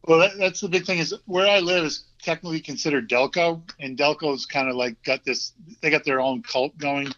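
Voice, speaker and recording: A man in his fifties with a Vermont accent, chatting easily as a podcast guest and often pausing with ums and restarts. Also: on the high side. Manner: relaxed, hesitant, many ums and restarts. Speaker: guest, former hockey player. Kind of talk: casual interview chat. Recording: dull lo-fi audio.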